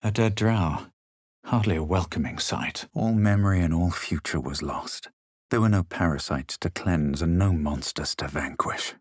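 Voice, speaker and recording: A man voicing a self-assured adventurer hero in a fantasy video game, with a husky voice and a measured, slightly superior, dramatic delivery. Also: deep voice, no echo, studio quality. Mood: wary, musing, then grave narration. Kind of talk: video game voice acting, barks and past-tense narration.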